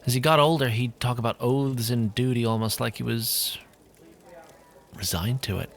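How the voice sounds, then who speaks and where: A man playing a cool-tempered, wistful city guard officer in a fantasy audio drama, speaking in a measured, hesitant way. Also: medium pitch, vocal fry, natural voice. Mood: wistful, uneasy.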